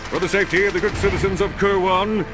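A man's voice: high voice, fairly even intonation, fast talker, music in the background, lightly acted. A man voicing a vain, cowardly alien superhero in a video game, in a bombastic, melodramatic announcer manner. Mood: melodramatic boasting. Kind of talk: video game cutscene comic acting.

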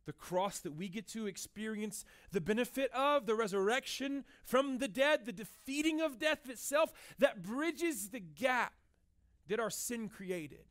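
Pastor, 30s, male, very high pitch; casual, animated sermon delivery. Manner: animated, conversational preaching with frequent "right?" asides. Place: church stage with band gear.

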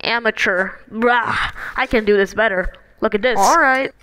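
A woman doing an excitable, slangy amateur voice-over for animated shorts, in a noisy homemade recording. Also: a little high, normal speed, clear, resonant tone. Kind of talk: animated fan dub, acted exclamations and casual chatter.

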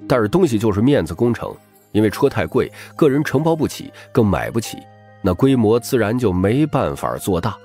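A man reads a novel aloud in a low voice. His delivery is dramatic and moves up and down a lot, in the manner of a storyteller, with faint music behind him. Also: sing-song, brisk, a little mellow. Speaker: audiobook narrator. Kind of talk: scripted novel narration.

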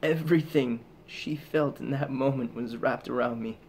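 A man performing a dramatic monologue as a haunted, guilt-ridden soldier back from war, halting and intense, with heavy pauses. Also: medium pitch, steady tone, a little mellow, a little hiss or hum, natural voice. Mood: grieving, guilty.

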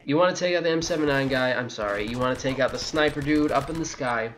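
A man's voice: medium pitch, fast talker, a bit bright, soft background music. A man giving a casual, sweary, exasperated video game walkthrough commentary.

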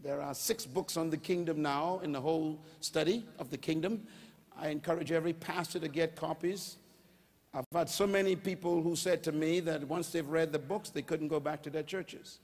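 An older Bahamian man preaches from a large stage into a handheld mic. His voice sits high and rises to shouts as he calls on the crowd to answer. A long reverb from the hall trails each phrase. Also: steady tone, normal speed. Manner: preaching with call-and-response, rising to shouts. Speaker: pastor. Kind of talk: sermon.

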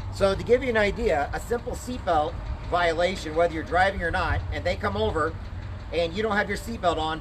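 A young man with a fairly high voice explains things in a loose, conversational pitch. He stands outdoors in a parking lot, filmed from a few steps away. Steady traffic noise runs under his voice. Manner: conversational, frequent "okay?" check-ins. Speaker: legal plan presenter. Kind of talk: informal sales pitch to camera.

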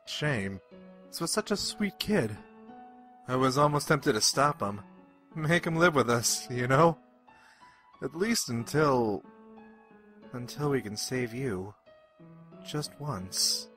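A man voicing a weary, caring skeleton son in a cartoon, hesitant, halting and earnest. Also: mid-range voice, lively intonation, a bit bright, faint music behind. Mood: regretful. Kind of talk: animated fan dub acting.

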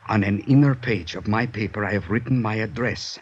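An actor playing a furtive, anxious male informant, hushed and urgent with careful formal phrasing, in 1950s radio drama style. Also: fairly low pitch, fairly quick, close to an everyday voice. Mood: furtive urgency. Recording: dull, noisy 1950s radio recording.

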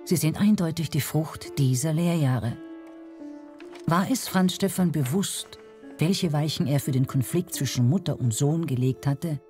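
A woman's voice: deep voice, unhurried, warm tone, soft background music. A woman reading scripted narration as a documentary narrator.

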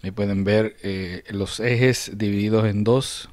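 Guy w doing a casual how-to, lowish voice, kinda halting, very dry room sound. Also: fairly even intonation, darkish tone, no room sound. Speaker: host of a diecast restoration channel. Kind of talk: casual hands-on demonstration narration. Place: indoors at a hobby table.

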